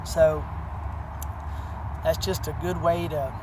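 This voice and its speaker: Man in his 30s, casual fishing how-to, rambling fillers, slightly high voice, noisy outdoor camera audio. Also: fairly even intonation, slow pace. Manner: rambling, many "you know" fillers. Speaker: fishing channel host. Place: outdoors by a pine wood. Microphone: camera close at arm's length.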